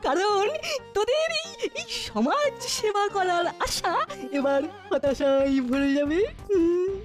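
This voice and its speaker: A cocky cartoon youngster, extremely high in pitch and full of swoops. The taunting young voice sits over clear background music. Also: female voice, animated, takes it slowly, full character voice.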